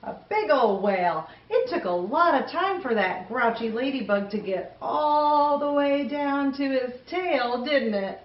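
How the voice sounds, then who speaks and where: A woman's voice: high-pitched, expressive, measured pace, hardly any pauses, echoey room, lo-fi sound, slight background noise. A woman hosting a children's storytime, reading aloud expressively and chatting enthusiastically with young listeners. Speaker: children's storytime host and reader.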